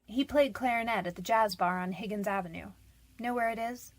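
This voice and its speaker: A woman's voice: medium pitch, a little fast, close to an everyday voice. An actress playing a frightened but defiant young convicted woman in a radio detective drama, defensive, pleading and slangy. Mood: resigned.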